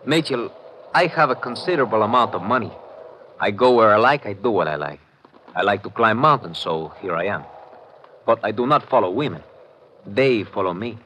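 An actor playing an arrogant, wealthy mountaineer in an old-time radio drama, haughty, formal and emphatic. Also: male voice, on the low side, expressive, moderate pace, pushed and bright. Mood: smug. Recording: slightly noisy vintage radio recording.

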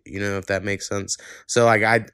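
A man's voice: lowish voice, level tone, normal speed, a bit bright, clear, resonant tone, dry recording, studio quality. A man in his thirties hosting a podcast in a casual, conversational way. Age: 30s. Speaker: podcast host. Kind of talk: casual chat.

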